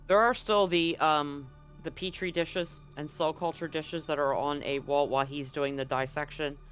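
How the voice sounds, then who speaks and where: A man narrating a tabletop roleplaying game as game master, casually and somewhat haltingly, feeling for his words. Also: fairly high pitch, fairly even intonation, fairly bright tone, soft background music.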